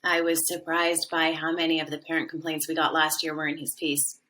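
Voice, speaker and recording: An actress playing a composed, principled school department leader in an audio drama, speaking steadily and assertively. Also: female voice, sits low, completely flat delivery, a little fast, sharp tone, a little hiss or hum. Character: composed, principled female department leader defending her program.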